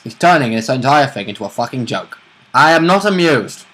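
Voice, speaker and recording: Male co-host, mid-pitched but highly varied, informal banter, blunt and foul-mouthed with playful indignation. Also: lively intonation, fairly quick, a bit bright. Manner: sweary, blunt, mock-indignant. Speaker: co-host of a tabletop role-play vidcast. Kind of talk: casual banter and short interjections.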